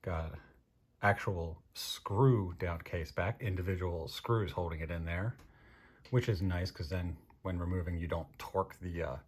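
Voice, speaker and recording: An American man from Maryland with a husky voice, casually reviewing products as host in a dry, unhurried manner. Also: low voice, fairly even intonation. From Maryland, United States. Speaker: host of a watch review show.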